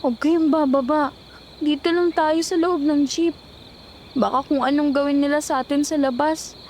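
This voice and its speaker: A woman's voice: high voice, fairly even intonation, moderate pace, a little mellow, a little hiss or hum, natural voice. A woman playing a suspicious young call center agent in a Filipino horror audio drama, speaking with fearful urgency. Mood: fearful, urgent.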